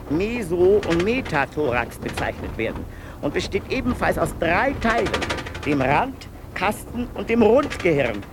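A fussy male asylum inmate holding forth on insects like a lecturer. His fairly high voice leaps up and down as he recites. A steady hiss of noise runs under the radio play recording. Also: heavily acted. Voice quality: pedantic, lecturing, with sudden pitch swings. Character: male asylum patient lecturing obsessively on beetles. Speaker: patient narrator. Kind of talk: 1990s radio play acting.

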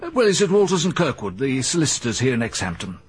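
An actor playing a gruff, terse retired male army major who answers in short, clipped lines in a radio drama. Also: medium pitch, sing-song, fast talker.

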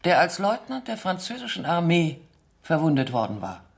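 A woman's voice: fairly low pitch, very animated, moderate pace, creaky. An actress playing a cool, seductive female spy under interrogation in a radio drama, poised, teasing and defiant. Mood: guarded, indignant. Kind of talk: German radio drama acting.

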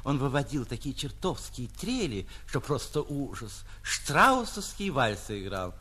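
A male actor playing a boastful, excitable bridegroom and official who brags eagerly and emphatically. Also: medium pitch, measured pace, slightly rough, full character voice.

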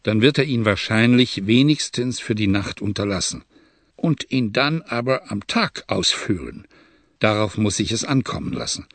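A man narrating an adventure story in a measured, calm reading, close to the microphone. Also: fairly low pitch, no room sound. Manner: measured, hushed at tense moments.

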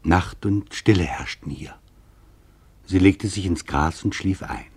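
An actor as a sober investigator narrating his interviews in a scripted radio documentary drama, measured and grave, with a husky voice. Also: low-pitched.

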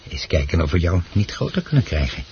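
A man's voice: low-pitched, sing-song, fast talker, darkish tone. An actor playing a polite, eager male hobbyist in a radio play, courteous and a little hesitant. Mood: absorbed, pleased. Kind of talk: Dutch radio play acting. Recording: dull, hissy old radio play recording.

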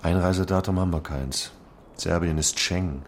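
A deep-voiced, musing detective. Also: male voice, moderate pace, slight background noise. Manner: thoughtful, musing, talks it through. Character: older male police chief inspector, musing and weary. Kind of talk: radio drama acting.

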